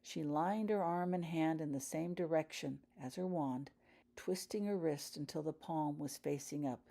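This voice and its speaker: A woman's voice: low-pitched, moderate pace, gravelly, mellow. A woman reading a dramatic fantasy story aloud as a scripted audiobook narration. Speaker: author reading own fan fiction audiobook.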